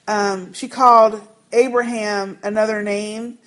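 A woman's voice: medium pitch, little pitch movement, slow pace, a bit bright. A woman co-hosting a podcast, chatting in a skeptical, easygoing, slangy way. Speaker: podcast co-host. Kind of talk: casual chat.

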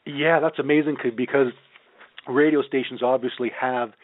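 A man's voice: medium pitch, steady tone, normal speed. A man hosting a radio show and interviewing a guest. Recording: thin phone-like band, roomy echo.

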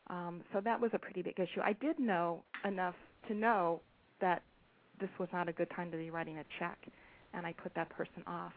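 A soft-spoken woman, an author, being interviewed over the phone, speaking hesitantly with many pauses and ums. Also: lowish voice, steady tone. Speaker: author and guest. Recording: tinny phone line with faint hiss.

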